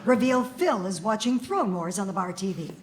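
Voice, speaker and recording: A woman reads out stage directions in a plain, matter-of-fact way. Her voice is mid-pitched. A clear hiss from the live room runs behind her. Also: expressive, normal speed. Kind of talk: reading script directions.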